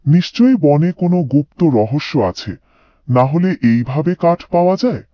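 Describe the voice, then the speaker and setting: A man's voice: a little high, sing-song, normal speed, no room sound. Man as a greedy moneylender, muffled lo-fi sound. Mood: suspicious, scheming. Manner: calculating, self-important. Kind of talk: cartoon fairy tale voice acting.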